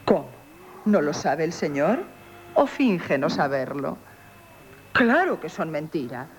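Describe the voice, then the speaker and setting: Coquettish, lively innkeeper, hissy old radio. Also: female voice, deep voice, takes it slowly, heavily acted. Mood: teasing, triumphant. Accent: Castilian Spanish. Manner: teasing, declamatory, emphatic. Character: witty, coquettish, proud young female innkeeper, mock-humble. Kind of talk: theatrical 1970s radio comedy acting. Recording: hissy 1970s radio broadcast.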